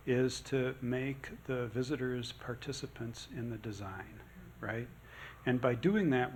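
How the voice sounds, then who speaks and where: This is a man speaking on a discussion panel, answering an audience question in a thoughtful and measured way. His voice sits at an ordinary middle pitch, and a faint hum of the room sits behind him.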